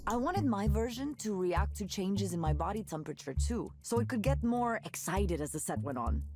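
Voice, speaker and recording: A woman acting a passionate, skeptical young tech musician in a visual novel game, speaking conversationally in an expressive, wry, earnest way. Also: medium pitch, moderate pace, natural voice. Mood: enthusiastic, playful. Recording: game audio with music underneath.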